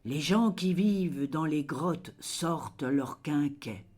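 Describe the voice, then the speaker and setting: Very slow, solemn poetry reader. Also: mid-range voice, animated, darkish tone, slightly rough. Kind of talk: slow dramatic poetry recitation.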